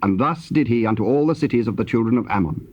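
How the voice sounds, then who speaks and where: A man with a husky voice narrating scripture in a solemn, scripted style. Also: medium pitch, expressive, fast talker, a little mellow. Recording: dull, lo-fi tape sound.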